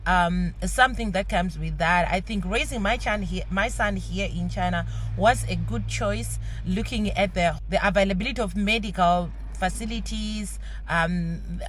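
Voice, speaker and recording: A woman speaks at a middle pitch, thoughtful and measured, pausing as she gathers her words. She sounds like a mother talking to camera. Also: fairly bright tone, slight background noise. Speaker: parent interviewee. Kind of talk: casual talk to camera.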